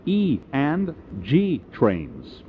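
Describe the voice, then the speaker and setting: A man reading recorded train transfer announcements, emphatic and deliberate. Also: on the high side, huge pitch swings. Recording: dull audio with faint noise.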